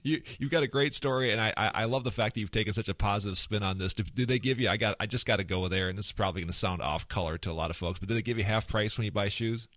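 A friendly man with a Minnesota accent hosts a podcast interview, affirming his guest with warm praise. His voice is fairly low, and the sound is dry and close. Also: rapid-fire, hardly any pauses, dry recording. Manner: friendly, affirming, uses many fillers. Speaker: podcast host. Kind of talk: casual interview hosting.

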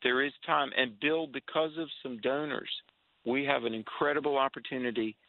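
Man, radio guest, eager, gravelly, tinny phone line. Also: medium pitch, steady tone, moderate pace, no room sound.

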